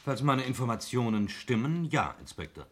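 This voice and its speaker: An actor playing a shrewd, courteous sergeant with a measured, insinuating manner, in a German 1960s radio play. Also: male voice, mid-range voice, sing-song, fairly bright tone. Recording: noisy vintage radio recording.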